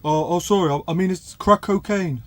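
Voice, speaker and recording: High-pitched hapless wannabe tough guy. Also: moderate pace, fairly bright tone, no echo. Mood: shaky bravado. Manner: hesitant, stammering bravado, ends phrases on "man". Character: wannabe drug dealer, hapless, feebly tough, pleading. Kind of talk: radio comedy acting.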